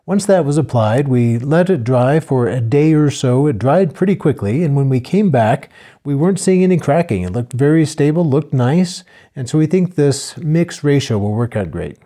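Older male homesteader, relaxed voice-over, warm low-key tone. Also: moderate pace. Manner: plain, steady, matter-of-fact explaining. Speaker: homesteader narrating a build video.

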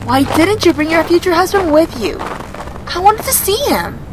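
A woman playing an excitable, inquisitive young female friend in a radio drama. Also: very high voice, moderate pace, noisy background. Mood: excited. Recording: music behind the voice.

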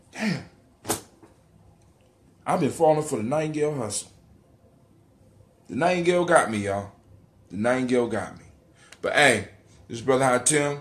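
A middle-aged American man hosting a casual livestream monologue, conversational, swearing casually and repeating phrases for emphasis. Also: medium pitch. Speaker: livestream host. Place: dim living room indoors. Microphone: phone or webcam at arm's length.

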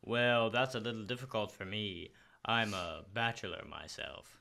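A young man acting a shy, lonely bachelor store clerk in an old-time radio comedy, halting and bashful. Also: on the low side, vocal fry, very noisy. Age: young adult. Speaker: high school student actor. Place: school library room, live staged radio show. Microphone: shared stand microphone.

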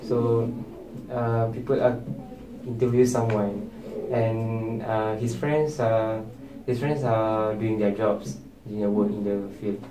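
A man's voice: lowish voice, level tone, slow. A young man, speaking hesitantly and repeating words in a student discussion. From Malaysia. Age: young adult. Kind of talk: student group reflection discussion. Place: indoor meeting room at a table. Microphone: far camera. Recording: distant echoey room with noise.

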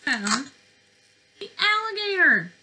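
A woman in her twenties, a speech therapist, reading to preschoolers in an animated, questioning way, recorded on a webcam in a bedroom. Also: very high-pitched, takes it slowly. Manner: animated, questioning delivery for young children. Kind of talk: interactive children's read-aloud lesson. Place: small bedroom indoors.

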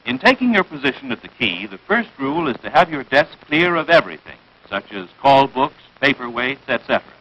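A man narrates a 1940s military instructional film with an American accent. His delivery is crisp and declarative, with animated swings in pitch and a forceful, slightly husky edge. The sound is that of a vintage film soundtrack. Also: fairly quick, few pauses, low-quality recording.

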